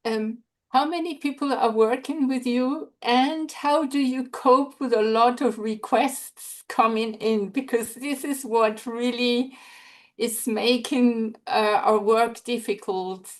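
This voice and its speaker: A woman with a fairly high voice asks a direct question over a video call. She speaks slowly and deliberately. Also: fairly even intonation. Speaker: audience member asking a question.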